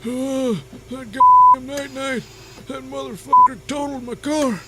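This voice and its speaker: A man's voice: very high-pitched, huge pitch swings, measured pace, very noisy, exaggerated voice. A man playing a whining, foul-mouthed victim, wailing melodramatically in a comedic audio serial. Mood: anguished outrage.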